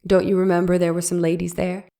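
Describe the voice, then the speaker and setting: A woman voices a courtroom lawyer from the 1890s, pressing a witness with leading questions. Her voice sits somewhat low. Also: monotone, fast talker, vocal fry, mellow. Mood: probing. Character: probing 1890s defense attorney. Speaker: cross-examining lawyer. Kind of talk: dramatic reading of a trial transcript.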